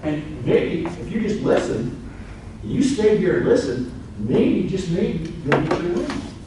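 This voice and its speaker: A man's voice: high-pitched, very animated, slow pace. A man giving a rambling, folksy, earnest presentation to a live audience in an event room. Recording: echoey room, far from the mic, noisy.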